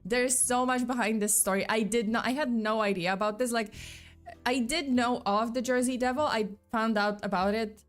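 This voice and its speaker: A woman's voice: on the high side, fairly even intonation, normal speed, faint music behind. A young woman with a slight mixed accent, not a native English speaker, rambling in a casual live-stream chat with lots of fillers. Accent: slight mixed non-native.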